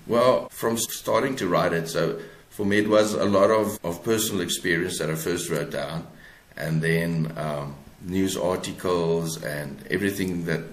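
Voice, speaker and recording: A man with a South African accent talking reflectively as an interview guest, haltingly, repeating words as he searches for his phrasing. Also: sits low, steady tone. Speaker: guest author. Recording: roomy, echoing sound.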